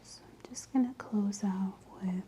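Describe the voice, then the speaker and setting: A woman doing soft-spoken, mostly whispered ASMR as a practitioner, breathy, gentle and soothing in an unhurried way. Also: mid-range voice, a little hiss or hum. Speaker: ASMR host performing a scalp exam roleplay.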